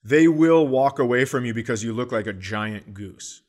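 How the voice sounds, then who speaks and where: An American man from Minnesota chatting casually as a comedy podcast co-host, explaining things with deadpan mock earnestness. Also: mid-range voice, moderate pace. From Minnesota, United States.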